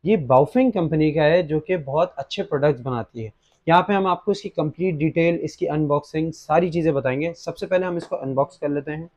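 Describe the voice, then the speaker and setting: A man's voice: a little high, brisk, darkish tone. A young man with a Pakistani accent presenting a product unboxing in an explanatory way, mixing in English words. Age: young adult. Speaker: presenter for an online gadget shop. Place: small indoor gadget shop studio at a desk.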